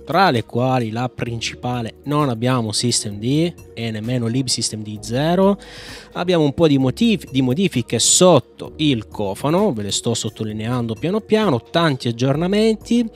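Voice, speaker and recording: Chatty man over background music. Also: medium pitch. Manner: informal, chatty, playful wording. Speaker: tech video host. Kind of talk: casual software tutorial commentary.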